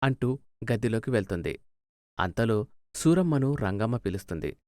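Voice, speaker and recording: A man's voice: on the low side, lively intonation, moderate pace, darkish tone, no room sound, studio quality. A man narrating a scripted moral story as a storyteller.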